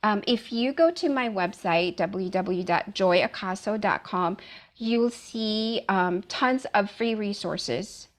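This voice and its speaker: A woman's voice: mid-range voice, takes it slowly. Filipino-born woman talking through her slides, lots of ums, easygoing. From Philippines. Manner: casual, many "um" fillers. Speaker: wellness speaker. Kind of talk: presenting a talk with slides.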